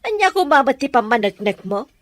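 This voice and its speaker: A woman's voice: a little high, sing-song, fairly quick, no room sound. A woman playing a principled older sister in an audio drama, protesting and scolding. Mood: indignant. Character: principled older sister refusing a dishonest scheme. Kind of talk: amateur audio drama acting.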